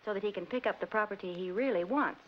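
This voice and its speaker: An actress playing a shrewd, confident young woman in a 1940s comedy film, brisk and coy with slangy lines. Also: mid-range voice, natural voice. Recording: muffled, noisy old film soundtrack.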